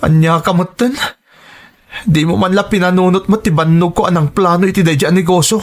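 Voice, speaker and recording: High-voiced, wounded young man, acted out by a man. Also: fairly even intonation, a little fast, lightly acted. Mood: bitter, reproachful. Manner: emotional, reproachful. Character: hurt, indignant young son betrayed by his friend. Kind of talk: melodramatic audio drama acting.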